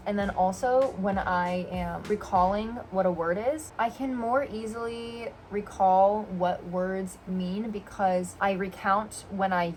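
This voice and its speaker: A young American woman talking casually to her camera, rambling with lots of fillers and restarts. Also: medium pitch. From United States. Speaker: vlogger. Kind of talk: casual vlog talk to camera. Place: indoors, a rented home room.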